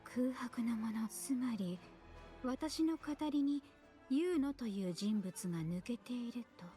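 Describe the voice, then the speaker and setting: A woman voicing a solemn, composed priestess seer in a video game, speaking formally with breathy pauses. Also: a little high, animated, dark tone, lightly acted. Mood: puzzled, polite. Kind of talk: Japanese video game voice acting. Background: video game music.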